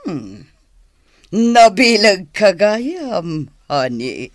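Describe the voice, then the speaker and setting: A woman's voice: medium pitch, big pitch swings, drawn-out words, no room sound, clean studio sound. An actress with an Ilocano accent playing a scheming, fawning lover of a crime boss in a radio drama, coaxing and drawing out her words. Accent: Northern Philippine (Ilocano).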